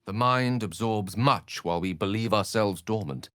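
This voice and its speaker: An actor playing an eloquent, wry, romantic scholarly wizard in a fantasy video game, speaking in an articulate, theatrical way. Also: male voice, fairly low pitch, normal speed, fairly bright tone, no room sound, studio quality, lightly acted. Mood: playful, romantic.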